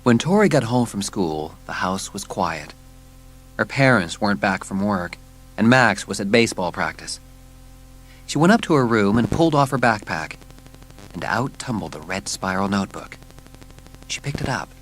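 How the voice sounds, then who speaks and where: A man's voice: on the low side, expressive, moderate pace, fairly bright tone, low-quality recording. A man narrating a children's story as scripted, dramatised audiobook narration. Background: music.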